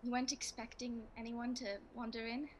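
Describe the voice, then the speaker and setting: A woman playing a shy, self-mocking young governess in a radio play, gentle and hesitant. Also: fairly high pitch, level tone, a little fast, slight background noise, natural voice. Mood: flustered.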